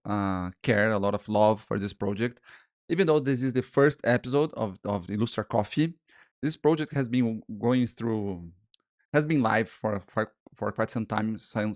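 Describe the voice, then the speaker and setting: A man in his forties, a native Portuguese speaker with a Portuguese-speaking accent, hosting a casual solo talk. He speaks haltingly in English, restarting and repeating words and often checking in with "okay?" and "guys". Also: on the low side, a little mellow, no room sound, clean studio sound. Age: 40s. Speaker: freelance illustrator and podcast host.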